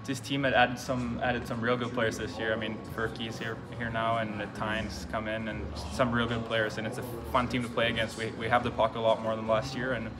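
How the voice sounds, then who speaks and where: Young hockey player, halting postgame answers. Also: male voice, lowish voice. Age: young adult. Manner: halting, repeats words and restarts, relaxed. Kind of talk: postgame press interview. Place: indoor press room before a sponsor backdrop. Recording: loud music playing behind. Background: loud music.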